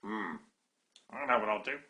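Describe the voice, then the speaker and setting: A man voicing a mischievous, gleeful baby girl goblin with a high, squeaky, crowing chant. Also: expressive, moderate pace, sharp tone. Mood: sly.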